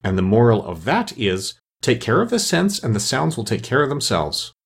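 A man's voice: mid-range voice, very animated, normal speed, vocal fry, slightly rough, heavily acted. A man voicing a pompous, self-satisfied older Duchess in a storybook, fond of moralizing and eager to agree. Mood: smug, agreeable. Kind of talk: storybook character reading.

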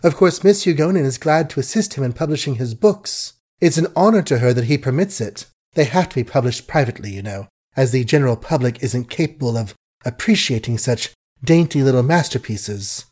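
A man's voice: medium pitch, expressive, brisk, darkish tone, natural voice. Man voicing an intense, worldly society woman. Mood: defensive. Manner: earnest, emphatic, dramatic. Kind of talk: audiobook character acting.

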